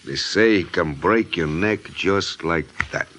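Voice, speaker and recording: An actor playing a calm, mocking Italian gang boss in broken English, in 1940s radio style. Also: male voice, deep voice, takes it slowly, slight background noise. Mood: calm menace. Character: menacing, smooth-talking Italian immigrant gang boss.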